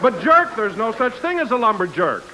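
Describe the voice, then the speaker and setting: A man's voice: high voice, very animated, moderate pace, a crowd in the background. A man working as a 1940s radio announcer and comic sidekick, with a hearty, grandiose delivery. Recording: hissy vintage radio recording.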